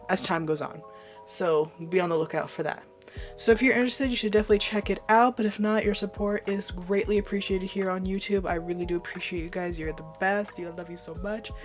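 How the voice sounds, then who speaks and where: Hoarse, chatty woman hosting a reaction video. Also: fairly low pitch. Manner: chatty, rambling, lots of "like" fillers. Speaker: YouTube reaction host. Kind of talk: casual reaction-video chat.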